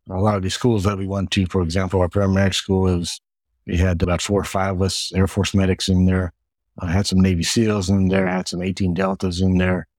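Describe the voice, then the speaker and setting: A man with a very deep voice, Hungarian his first language, answering interview questions in a steady, methodical way. He strings his points into long lists and often repeats words as he goes. The sound is close and dry. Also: no room sound. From United States. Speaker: guest, retired Air Force medic.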